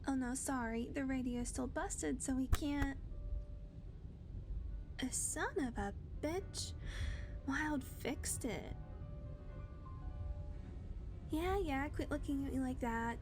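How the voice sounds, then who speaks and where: A woman voicing a blunt, foul-mouthed female vampire in an audio roleplay, talking sarcastically and dropping into soft murmurs. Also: high-pitched. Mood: wry.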